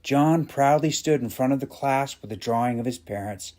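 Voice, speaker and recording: A man's voice: mid-range voice, normal speed, a little mellow. A man narrating a scripted short story as the storyteller.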